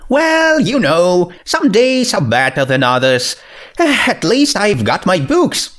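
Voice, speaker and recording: A man's voice: high voice, huge pitch swings, slow pace, a bit bright, pitched above the performer's own voice, exaggerated voice. A man voicing an anxious, bookish young female unicorn who speaks in a hesitant, apologetic way, in a story reading. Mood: weary, sheepish.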